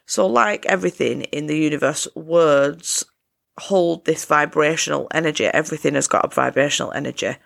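A woman hosting her own podcast, chatting in a casual, upbeat self-help way with a croaky voice. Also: deep voice, normal speed. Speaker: podcast host. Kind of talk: casual solo podcast chat.

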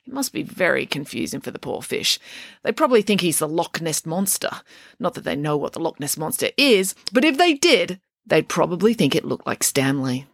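Scatterbrained mum voiced by a woman, higher and bright, swooping up and down, whimsical, dry close sound. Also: big pitch swings, moderate pace, gravelly, no echo, full character voice. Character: scatterbrained chatty mother, playful and whimsical.

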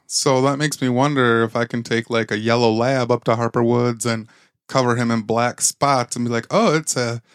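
A man co-hosting a comedy podcast, chatting in a relaxed, wry way and laughing along. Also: medium pitch, normal speed, clear, resonant tone, dry recording, clean studio sound. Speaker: podcast co-host. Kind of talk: casual comedic chat.

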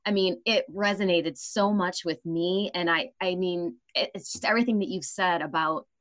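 A woman's voice: fairly low pitch, moderate pace, a bit bright. A woman podcast host talking conversationally as she interviews guests.

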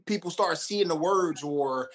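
A man's voice: a little high, a little slow. A man co-hosting a casual chat, giving encouraging advice in a mentoring way.

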